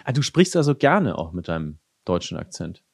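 A man's voice: deep voice, very animated, normal speed, creaky, breathy edge, no room sound, clean studio sound. A man hosting an interview, asking curious, probing questions with some hesitation. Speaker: podcast interviewer. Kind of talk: casual conversational interview.

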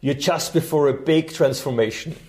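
A man's voice: on the high side, normal speed, a little mellow. A middle-aged man, a coach and trainer telling personal stories conversationally as an interview guest.

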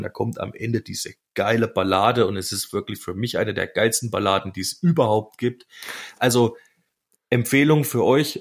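A man co-hosting a podcast, chatting casually about music in an enthusiastic, slangy, emphatic way. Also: lowish voice. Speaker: podcast co-host.

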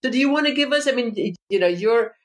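A woman hosting a podcast interview, articulate and enthusiastic, a little halting when asking questions. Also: on the high side, animated. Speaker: podcast host.

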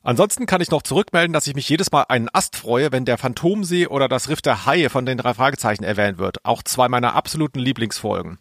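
A man's voice: medium pitch, lively intonation, fast talker, few pauses, fairly bright tone, no echo, clean studio sound. A man co-hosting a podcast in casual banter and reading out listener mail. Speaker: podcast co-host.

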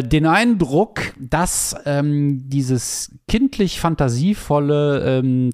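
A man hosting a casual podcast chat, hesitant with many fillers and gently teasing. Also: medium pitch. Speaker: podcast host.

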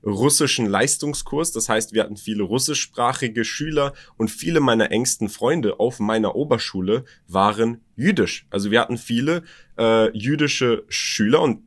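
A young adult man from Germany with a Berlin German accent, co-hosting a podcast and talking in a reflective, personal way with halting restarts. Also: mid-range voice. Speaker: podcast co-host. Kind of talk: casual podcast conversation.

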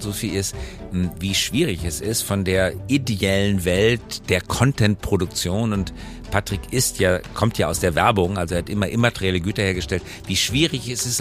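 A man hosting a podcast in a conversational, reflective way, explaining points with emphatic phrasing. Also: deep voice. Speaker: podcast host.

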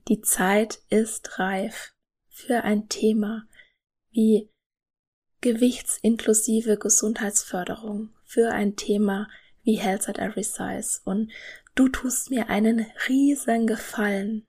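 Solo podcast host, woman in her 30s, breathy and husky tone, earnest and upbeat. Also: mid-range voice, steady tone, slow pace, warm tone. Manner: earnest, enthusiastic, speaks directly to the listener.

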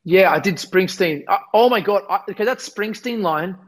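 A young man talks through an interview in a fairly high voice that sounds a little worn from losing it. He rambles with lots of restarts and pokes fun at himself. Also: moderate pace. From Australia. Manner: rambling, self-deprecating, many restarts. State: losing his voice. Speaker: musician guest. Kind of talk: casual interview chat.

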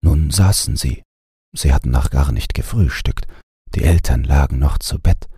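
A man narrating a bedtime audiobook in a calm, scripted storytelling style, close to the microphone. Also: very deep voice, moderate pace, slightly rough, dry recording.

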